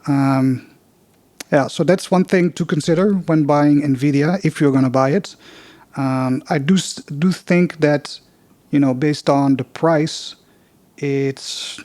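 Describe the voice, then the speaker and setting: Non-native podcast host with a Dutch accent, slightly high-pitched, dry recording, relaxed and unscripted. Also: male voice, takes it slowly, no echo. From Netherlands. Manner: loose and unpolished, many fillers and restarts. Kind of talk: casual investing podcast with chart walkthrough.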